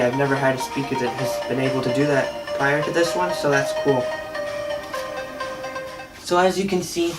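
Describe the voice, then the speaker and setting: A man giving a casual, unscripted product demo in a hesitant, conversational way. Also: medium pitch. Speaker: product reviewer. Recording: distant mic, faint music at times.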